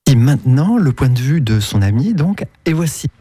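A man hosting a radio feature, reading a measured, descriptive and gently playful script. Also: mid-range voice, big pitch swings, slight background noise. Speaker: radio reporter and host. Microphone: handheld reporter mic. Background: music bed under narration.

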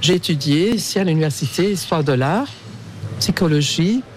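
A woman's voice: sits low, animated, normal speed, darkish tone, a little hiss or hum. An older Belgian woman, a native Dutch speaker with a Flemish accent, answering casually and searching for words. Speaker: interviewee, local resident. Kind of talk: casual street interview.

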